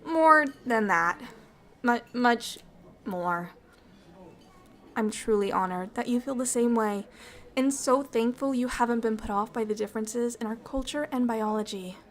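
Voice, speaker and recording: High-voiced, bubbly alien ambassador played by a woman. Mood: affectionate, sincere. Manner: expressive, earnest, slightly formal wording, halting when moved. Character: bubbly, affectionate alien ambassador, curious and naive about humans. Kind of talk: ASMR roleplay acting, intimate one-sided dialogue.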